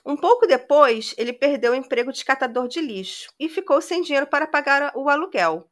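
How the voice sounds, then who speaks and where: A woman's voice: high-pitched, animated, a little fast. A woman hosting a podcast, recounting a true-crime case in a casual conversational way. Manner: conversational retelling with frequent "né". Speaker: podcast host.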